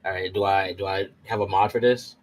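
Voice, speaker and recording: A man's voice: deep voice, fairly even intonation, a little fast, low-quality recording. A man playing a tabletop roleplaying game, chatting casually and thinking aloud in slangy, repetitive phrases.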